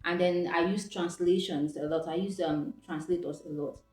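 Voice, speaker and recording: A woman's voice: on the low side, steady tone, moderate pace, a little mellow. A young Nigerian woman with an Igbo first language, talking reflectively to camera and often restarting her sentences. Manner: reflective, with frequent restarts and self-corrections. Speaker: vlogger. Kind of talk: casual talking-to-camera vlog. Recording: echoey room with faint background music.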